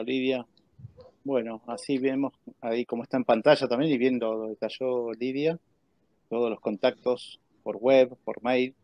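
A man's voice: fairly low pitch, no room sound. A man in his forties with an Argentine accent, casually interviewing as a host over a video call. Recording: dry, dull video call audio.

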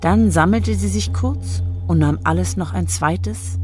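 An actress narrating a science fiction radio drama in a scripted storytelling style. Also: female voice, on the low side, a little slow, natural voice. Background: music.

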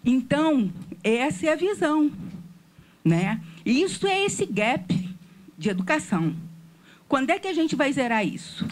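Earnest woman with a Rio accent. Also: fairly high pitch. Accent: Rio de Janeiro Portuguese. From Rio de Janeiro, Brazil. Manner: earnest, questioning, storytelling. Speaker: audience member asking a question. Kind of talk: audience question at a public meeting. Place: meeting room with an audience. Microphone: handheld microphone, standing.

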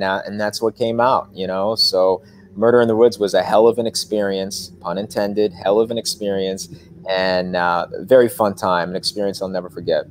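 Animated young man on a video call. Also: fairly low pitch, slight background noise. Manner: animated, gestures a lot, frequent fillers. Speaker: actor, interview guest. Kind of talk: casual interview answers. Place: living room, seated on a couch. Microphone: webcam on video call.

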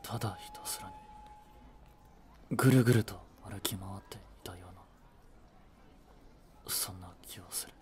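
A man playing a blunt, devoted young boyfriend in a romantic voice drama, with a breathy voice and heavy emotion. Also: lowish voice, measured pace, pauses often, a little mellow, close to an everyday voice. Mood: numb, hushed narration. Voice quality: breathy, slightly husky. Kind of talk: romantic Japanese voice drama acting.

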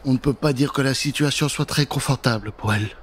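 A man's voice: mid-range voice, fast, slight background noise. A middle-aged man narrating a spooky children's tale in a theatrical, playful way, speaking straight to the listeners on a close studio microphone. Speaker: storyteller, narrator. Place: dark studio booth. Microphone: close studio microphone with pop filter. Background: prominent music.

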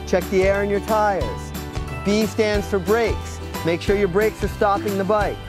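A woman's voice: medium pitch, steady tone, unhurried. Female bike safety instructor over music. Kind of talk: instructional presentation.

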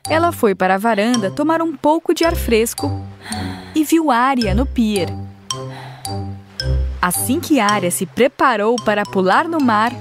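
A woman reading scripted storybook narration for a cartoon with steady, expressive storytelling. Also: sits high. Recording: cartoon narration with music behind.

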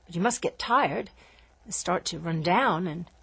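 An actress playing an inventor's restless adult daughter in a radio drama, questioning insistently. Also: female voice, lowish voice, measured pace, gravelly, fairly bright tone, slightly rough, dry recording, heavily acted. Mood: probing. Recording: music under the dialogue.

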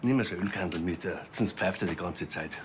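A man's voice: low voice, fairly quick, noisy background, lightly acted. An actor playing a bustling, chatty Bavarian man at a sports club, tagging questions onto his instructions in a TV comedy.

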